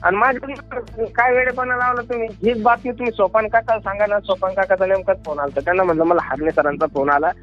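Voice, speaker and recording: A man's voice: high voice, fairly even intonation, fast talker, hardly any pauses. A man arguing insistently and repetitively on a phone call. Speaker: kirtankar, devotional performer. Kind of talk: heated argument on a phone call. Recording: dull phone call recording with music.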